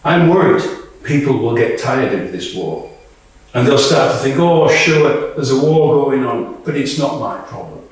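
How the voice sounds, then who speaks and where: A man performing a dramatic monologue as a candid, war-weary woman soldier, with deliberate, emphatic phrasing and pauses. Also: mid-range voice, lively intonation, natural voice. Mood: weary, resolute. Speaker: actor reading a monologue. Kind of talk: live dramatic monologue reading. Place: small live reading indoors. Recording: echoey room, distant microphone.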